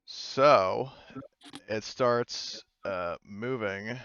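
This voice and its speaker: A man's voice: lowish voice, drawn-out words, fairly bright tone, clean tone, no echo. A man running a tabletop game as game master, trailing off with fillers and laughing often. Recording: dull lo-fi dry sound.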